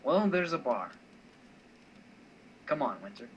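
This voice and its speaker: A man voices a self-assured mentor in a visual novel, insistent yet wry. His mid-range voice is measured and a touch patronising. It sounds off the mic, with faint noise behind it. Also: medium pitch, very animated, distant mic, highs cut off. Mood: calm, persuasive. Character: teasing, self-assured male mentor, calm and insistent, Cyrus. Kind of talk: amateur voice acting of a visual novel.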